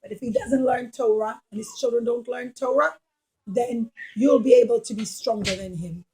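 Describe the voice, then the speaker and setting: An old patriarch puppet with a high voice, voiced by a woman. He is gentle, earnest and easily worried, speaking in simple emphatic phrases for a children's puppet show. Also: moderate pace, husky, low-quality recording. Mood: solemn.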